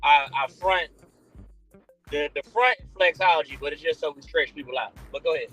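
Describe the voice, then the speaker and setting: A man joking deadpan as a guest on a casual podcast. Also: a little high, fairly quick, faint music behind, rough recording.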